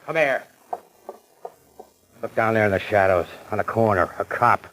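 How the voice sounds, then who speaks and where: A man's voice: lowish voice, moderate pace, a bit bright, lightly acted. An actor playing a tough, contemptuous gangster gunman with hard-boiled slangy talk in an old radio crime drama. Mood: tense, snapping. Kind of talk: 1940s-50s radio crime drama acting. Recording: noisy crackly old radio recording.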